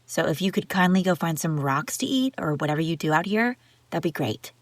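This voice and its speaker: A woman's voice: deep voice, expressive, brisk, dry recording. Haughty, bratty princess played by a woman, dry close sound. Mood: irritated, defensive. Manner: sarcastic, exasperated, bickering. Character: haughty, bratty young runaway princess, teasing and defensive. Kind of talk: roleplay audio drama addressed to the listener.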